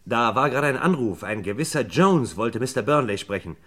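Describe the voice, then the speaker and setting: An actor playing a businesslike, courteous police detective with a probing, thoughtful manner, in a vintage German radio crime play. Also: male voice, medium pitch, expressive, brisk, fairly bright tone. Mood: alert, businesslike. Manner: polite, probing, hesitating while thinking.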